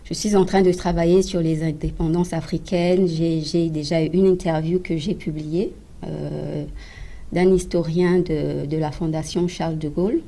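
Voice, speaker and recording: A woman's voice: low voice, steady tone, moderate pace, mellow. A woman journalist from the Republic of the Congo, answering interview questions with stammering restarts and repeated phrases. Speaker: journalist guest.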